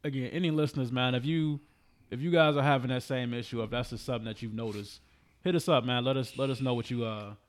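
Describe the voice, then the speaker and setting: A man's voice: mid-range voice, fairly even intonation, fast. A man in his thirties co-hosting a casual podcast, talking bluntly with teasing, slangy remarks. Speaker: podcast co-host. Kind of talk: casual chat.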